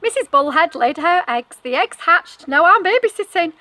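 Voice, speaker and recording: A woman voicing proud, chirpy father fish in a storybook, with brisk, delighted emphasis. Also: extremely high pitch, lively intonation, pushed and bright, no echo, voice pushed up, full character voice. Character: proud, chirpy male fish fathers minding their eggs.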